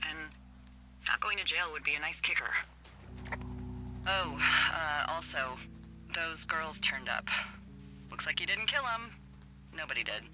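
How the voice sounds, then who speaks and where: An actress playing a wry, worried fire lookout in a naturalistic game style, sardonic, speaking over a two-way radio. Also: female voice, deep voice, expressive, unhurried, long pauses, bright, forceful tone, full character voice. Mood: dry, guarded. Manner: sardonic, morbidly joking. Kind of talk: naturalistic video game acting. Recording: noisy walkie-talkie radio transmission.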